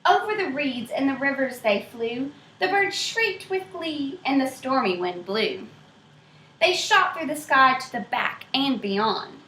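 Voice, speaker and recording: A woman reading a picture book aloud to children in an expressive way, stopping to ask them questions. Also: high voice, a little hiss or hum. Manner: expressive, pausing to quiz young listeners. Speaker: storybook reader. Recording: roomy echo.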